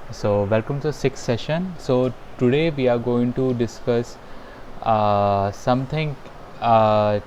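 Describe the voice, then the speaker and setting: Man, lowish voice, online instructor, hesitant and filler-heavy; faint noise on the call line. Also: darkish tone, rough recording. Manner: halting and hesitant, many fillers and restarts. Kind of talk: live online programming lesson. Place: home in a rainy town.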